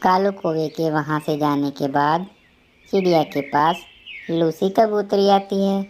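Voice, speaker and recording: A woman's voice: low-pitched, steady tone, unhurried, a little mellow, a little hiss or hum. A woman narrating a scripted children's cartoon story.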